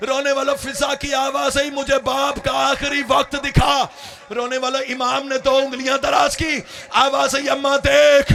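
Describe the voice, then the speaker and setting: A man's voice: very high-pitched, completely flat delivery, creaky, bright, forceful tone. A man delivering an impassioned mourning sermon, crying out and wailing to the mourners. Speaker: religious orator.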